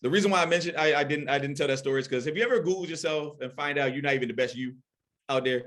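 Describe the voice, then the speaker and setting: A man's voice: fairly high pitch, racing, a bit bright. A man performing a casual stand-up comedy set in a conversational, rambling, self-deprecating way.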